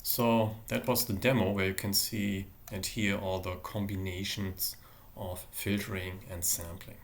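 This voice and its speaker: A man giving a live technical demonstration as a university lecturer, explaining in a hesitant way with frequent pauses. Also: sits low, level tone. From Germany. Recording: roomy echo, faint background noise.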